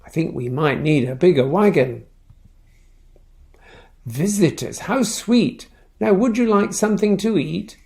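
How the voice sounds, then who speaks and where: Guy doing a posh-mannered polite dragon for a kids book, high voice, easily miffed. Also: lively intonation, measured pace, gravelly, pauses often, darkish tone, exaggerated voice. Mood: welcoming. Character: polite, genteel dragon host, easily affronted.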